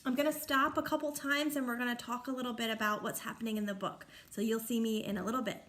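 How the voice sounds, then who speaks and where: A woman's voice: a little high, flat delivery, fast, a bit bright. A woman reading a picture book aloud to children like a teacher, explaining and asking the listeners questions. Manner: instructive, asks listeners questions.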